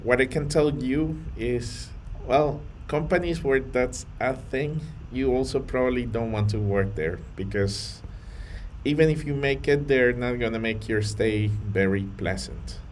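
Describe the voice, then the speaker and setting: Guy vlogging to camera, earnest, measured, some uhs, bit of faint room hiss. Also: mid-range voice. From Colombia. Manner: earnest, measured, with fillers and restarts. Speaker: vlogger on data careers. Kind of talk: to-camera talk.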